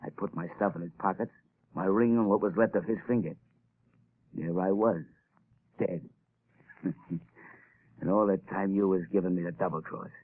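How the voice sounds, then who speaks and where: A man's voice: low voice, steady tone, moderate pace, long pauses. An actor playing a sneering gangster in a 1930s radio drama, talking tough-guy slang, on a tinny old broadcast recording. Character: gruff male gangster, menacing, sneering.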